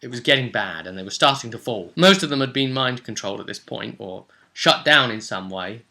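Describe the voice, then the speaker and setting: A British man with a strikingly bright, forceful voice at an average male pitch. He talks like a game master casually recapping a session, rambling along in colloquial, story-telling fashion. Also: expressive, a little fast, gravelly.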